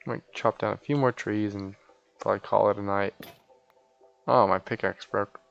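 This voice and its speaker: A man live-streams a game in a low, clean and smooth voice that often drops into fry. He sounds sleepy and chats in a loose, rambling way with folksy turns of phrase. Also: steady tone, normal speed, lo-fi sound. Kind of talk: casual chat while streaming a game.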